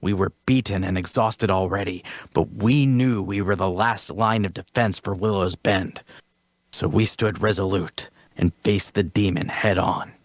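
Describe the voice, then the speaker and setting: A man's voice: sits low, steady tone, a little slow, no echo. A man playing a self-important adventurer, telling a heroic tale in a grandiose, theatrical manner at a table read. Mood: grave, dramatic. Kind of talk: dramatic fantasy dialogue reading.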